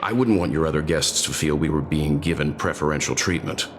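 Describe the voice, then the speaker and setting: A man playing a calm, considerate, mature traveler in a video game, speaking in a polite and measured way. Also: a bass voice, a little hiss or hum. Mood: polite, concerned. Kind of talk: English video game voice acting.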